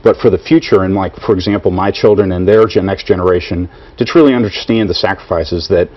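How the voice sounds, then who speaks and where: A man's voice: sits low, fairly even intonation, quick pace. A man who is a military officer, speaking earnestly as a guest on a TV interview. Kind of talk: TV studio interview. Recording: dull broadcast audio.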